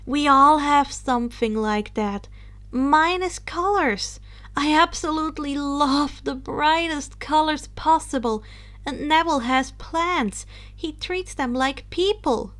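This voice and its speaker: A woman's voice: high-pitched, takes it slowly, a bit bright, pitched above the performer's own voice. A woman voicing a playful, eccentric teenage girl witch for a story, airy and teasing in manner. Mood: teasing, reassuring.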